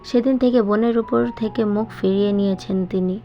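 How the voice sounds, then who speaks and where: A woman narrates a dramatic novel in a scripted storytelling style. Her voice sits at a medium pitch. Music runs behind her, and the recording sounds a bit muffled. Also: fairly even intonation, brisk. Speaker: audiobook narrator.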